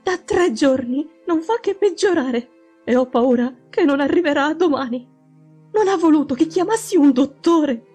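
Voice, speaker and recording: A frantic older housekeeper pours out her worry in a high, strained voice, as a woman narrator puts her on. She pleads urgently and sounds close to breathless. Faint music runs underneath. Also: animated, normal speed, warm tone. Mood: anxious pleading.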